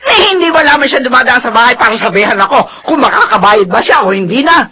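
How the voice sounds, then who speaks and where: Shrill, scolding old creditor woman. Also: expressive, quick pace, pushed and bright, slightly rough, heavily acted. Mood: angry, scolding. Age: older. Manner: scolding, blunt, sarcastic. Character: angry older woman creditor demanding her money. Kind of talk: radio drama acting.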